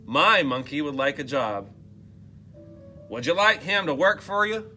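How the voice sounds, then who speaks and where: A man voicing a greedy, wheeler-dealing fellow in a funny hat for a children's story, loud and pushy like a salesman. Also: a little high, very animated, unhurried, soft background music, exaggerated voice. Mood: pushy, eager.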